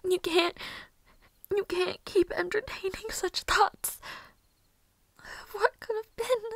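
A woman playing a sorrowful, lovelorn young maid in an audio roleplay monologue, soft and breathy, often whispering, with hesitant pauses. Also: very high voice, no echo, exaggerated voice. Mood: anguished. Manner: hesitant, slow, emotional pauses.

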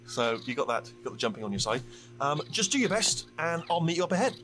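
An actor with a British accent playing a chatty, bumbling, eager robot sidekick in a video game, rambling and stammering. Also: male voice, on the high side, sing-song, quick pace, music in the background, rough recording. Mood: awkwardly encouraging. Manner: rambling, stammering, falsely reassuring.

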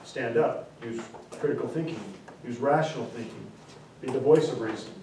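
A man in his forties teaching a class, explaining terms through repeated examples and stressing key words slowly. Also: mid-range voice, noisy background. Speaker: instructor. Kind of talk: classroom lecture. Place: classroom, indoors. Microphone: far camera. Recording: echoey classroom from far off.

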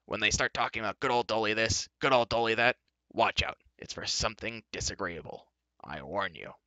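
Man putting on a loud, grumbling grumpy dwarf. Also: fairly low pitch, fairly quick, no room sound, dull audio. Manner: grumbling, emphatic snorting complaints. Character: grumpy scowling male dwarf, gruff complainer.

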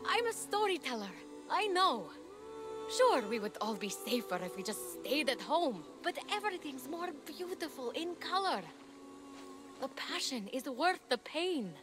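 An actress playing an earnest, encouraging young warrior heroine in a video game, speaking sincerely. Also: female voice, high voice, big pitch swings, measured pace, over music. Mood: earnest, rousing. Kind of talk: video game cutscene acting.

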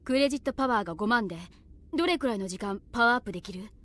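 A woman's voice: fairly high pitch, lively intonation, very fast, a bit bright, no room sound. A woman playing a stern young female leader, formal and commanding, in an anime audio drama. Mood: serious.

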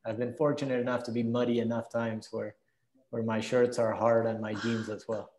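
A man's voice: medium pitch, flat delivery, normal speed, a little mellow, a little hiss or hum. A man in his thirties from Venezuela, answering reflectively as a guest on a video call interview. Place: indoor room, video call.

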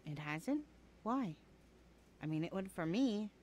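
A woman's voice: medium pitch, animated, slow pace, darkish tone, a little hiss or hum. A woman playing a sassy, blunt twenty-one-year-old best friend in a casual, slangy audio roleplay, often sighing. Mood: concerned, teasing. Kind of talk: conversational ASMR roleplay acting.

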